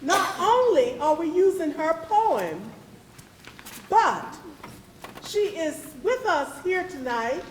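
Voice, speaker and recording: An echoey, noisy hall carries a high-pitched woman's voice. She presents a stage program very slowly, setting out short phrases with dramatic pauses. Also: sing-song. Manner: deliberate, speaking in short phrases. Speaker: presenter of a readers theatre performance. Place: live theatre event in an echoey room. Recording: echoey hall, clear background noise.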